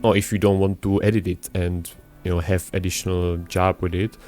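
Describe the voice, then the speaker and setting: A young man talks over his gameplay in English that is not his first language, in a somewhat low voice. He wanders between topics, stumbles, and restarts his sentences often. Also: level tone, a little fast, a little mellow, faint music behind. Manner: rambling, hesitant, many restarts and fillers. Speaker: gaming YouTuber. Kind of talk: casual gameplay commentary.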